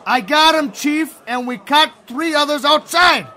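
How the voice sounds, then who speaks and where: An actor playing a brash, eager police officer barking orders in 1930s radio drama style. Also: male voice, very high voice, measured pace, full character voice. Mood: barking, urgent. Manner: forceful barking.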